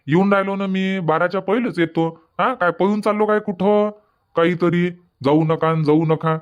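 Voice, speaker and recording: A man's voice: sits high, fairly even intonation, moderate pace, clear, resonant tone, dull audio, lightly acted. A man voicing a stubborn middle-aged village farmer husband in a comic cartoon, grumbling back. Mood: arguing. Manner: grumbling, placating, arguing back. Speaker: cartoon voice actor.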